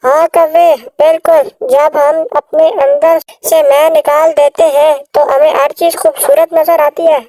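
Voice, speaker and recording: A woman voicing a kind, wise little female bird in a children's cartoon story, gently giving lessons. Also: high-pitched, little pitch movement, measured pace, few pauses, dry recording, rough recording. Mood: thoughtful.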